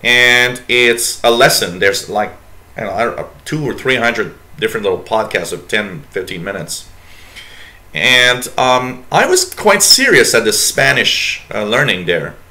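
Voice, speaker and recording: A French-speaking man from Quebec hosts a live stream in English with a noticeable French accent. His voice is bright and forward, in the middle of the male range. He drifts from one topic to the next, with plenty of "uh" and "um" along the way. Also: medium pitch, big pitch swings, a little slow. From Quebec, Canada. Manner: rambling, reads chat messages aloud, many fillers. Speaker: radio show host. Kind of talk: casual live-stream chat.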